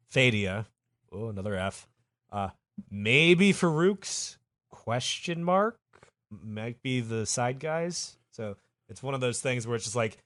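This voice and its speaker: A man's voice: mid-range voice, fairly bright tone, no echo. A man with an ordinary mid-range voice narrates history for laughs in a casual podcast. His delivery is crude and mock-dramatic, full of asides. The sound is dry and close. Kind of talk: casual comedic podcast banter.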